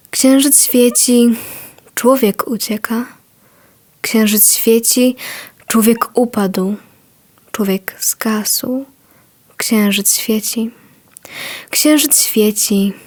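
A woman recites a poem slowly, in a hushed and partly whispered voice. The recording is dry and close. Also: a little high, no room sound. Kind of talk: poetry recitation.

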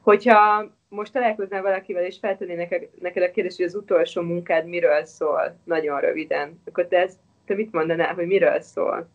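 A woman's voice: on the low side, steady tone. A woman in her thirties asking an author polite interview questions over a webcam video call from a room at home. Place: indoor room with a brick wall. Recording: slightly dull webcam audio.

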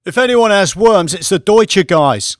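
Man putting on a brash, scornful trader, raised pitch. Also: lively intonation, unhurried, dry recording, clean studio sound. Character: brash, scornful male forex trader, crude heckler.

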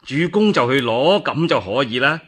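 A man voicing a calm, shrewd military strategist in Cantonese, measured and assured. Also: medium pitch. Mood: agreeable.

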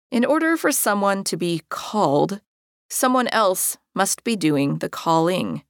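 A middle-aged American woman reading her own personal memoir as an audiobook in a measured, articulate way. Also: on the low side, no room sound, clean studio sound. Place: dry recording studio.

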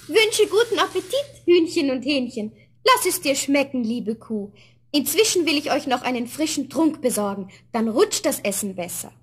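High-voiced, sweet young girl. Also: big pitch swings, moderate pace. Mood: tender, cheerful. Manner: polite, tender, earnest. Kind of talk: 1970s German fairy-tale radio play.

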